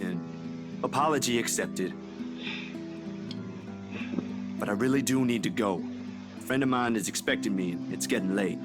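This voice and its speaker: A man's voice: lowish voice, brisk, close to an everyday voice. A man playing a polite, principled young man in a visual novel game, earnest and hesitant yet firm. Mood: calm, forgiving. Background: game music.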